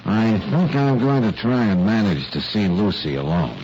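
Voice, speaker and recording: A man's voice: fairly low pitch, moderate pace, natural voice. An actor playing a cool, probing private eye in a radio detective drama, wry and direct with his questions. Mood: direct, calm. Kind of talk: 1940s radio detective acting. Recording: noisy, muffled vintage radio recording.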